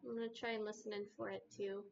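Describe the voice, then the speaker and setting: A soft-spoken young woman with a quiet voice, chatting hesitantly and giggling often on a casual live stream. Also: medium pitch, flat delivery, fast talker, gravelly, muffled recording, a little hiss or hum. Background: soft background music.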